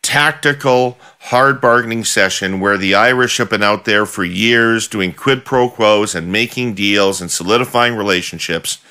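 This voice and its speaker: Man, middle-aged, podcast host, low voice, sharp tone, probing questions, video call. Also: moderate pace, hardly any pauses. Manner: probing, pressing follow-up questions. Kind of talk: political interview questioning. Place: home office with bookshelves, indoors.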